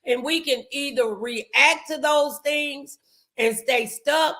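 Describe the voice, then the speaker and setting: Slow, bright-voiced American woman hosting. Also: high voice. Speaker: talk show host. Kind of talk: motivational talk show conversation.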